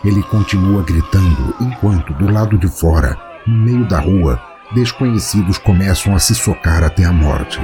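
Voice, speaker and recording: A man with a Brazilian Portuguese accent narrates a scripted horror story in a measured, solemn, dramatic way. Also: sits low, animated, music in the background. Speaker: podcast narrator.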